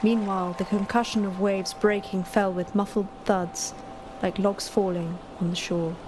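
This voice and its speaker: A woman's voice: medium pitch, level tone, moderate pace, noisy background, close to an everyday voice. A woman acting a lyrical, impressionable voice with poetic delivery in a literary drama. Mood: lyrical, measured.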